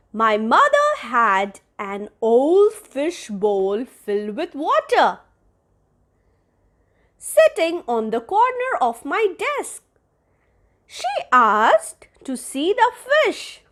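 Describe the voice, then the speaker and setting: Very high, slow, expressive woman storyteller. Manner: deliberate, expressive, teacherly phrasing. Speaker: storyteller reading aloud to young children. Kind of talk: children's read-aloud narration.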